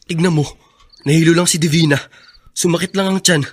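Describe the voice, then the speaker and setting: An actor in a Filipino radio drama playing a scheming accomplice with an offhand, conspiratorial manner. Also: male voice, on the high side, fairly even intonation, fairly quick, lightly acted. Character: scheming, casually callous male accomplice.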